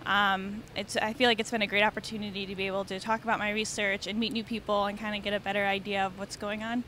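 A woman's voice: flat delivery, fast talker, bright, forceful tone. A woman in her twenties, a student being interviewed on camera with a handheld microphone. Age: 20s. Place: large convention hall. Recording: faint convention hall rumble.

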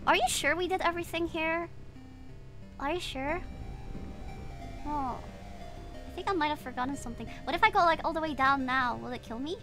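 A woman's voice: high voice, moderate pace, pauses often. A young woman with a non-native English accent streaming a horror game, chatting with her viewers and shrieking and giggling when startled. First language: not English. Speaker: virtual streamer. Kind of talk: live gameplay commentary reading chat. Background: game music.

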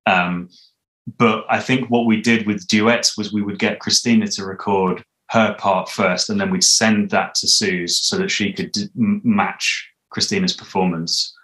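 A man's voice: lowish voice, steady tone, measured pace, a bit bright, rough recording. A man in his thirties chatting casually as an interview guest, thoughtful and hesitant, often correcting himself. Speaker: interview guest, audio drama composer. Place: living room at home, on a video call.

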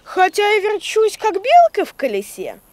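A woman's voice: extremely high pitch, wildly swooping pitch, moderate pace, heavy background noise, exaggerated voice. A woman voicing a patient young cartoon creature, expressively explaining and reading aloud for children. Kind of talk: children's educational cartoon voice acting.

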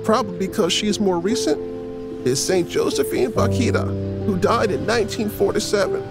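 High-pitched, lively male storyteller. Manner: explanatory, earnest. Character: adult storyteller teaching children, kindly and earnest. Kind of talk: audio drama storytelling. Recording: loud music behind the voice.

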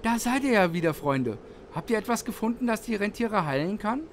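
A man's voice: high-pitched, animated, brisk, slight background noise. A man playing a kindly, grateful Santa Claus in a children's Christmas audio play.

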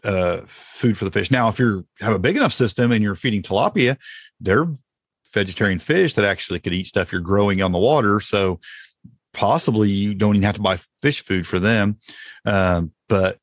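A man's voice: on the low side, expressive, moderate pace, no room sound, studio quality. A man hosting a podcast, chatting casually in a folksy way as he explains things off the cuff. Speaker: podcast host. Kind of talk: casual chat about home gardening.

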